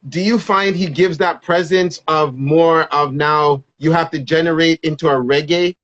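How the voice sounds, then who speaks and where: Male host, higher voice, eager and rambling, dry muffled recording. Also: fairly even intonation, moderate pace, a bit bright, no echo. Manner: enthusiastic, rambling questions. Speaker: show host. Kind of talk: casual interview. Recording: dull dry sound.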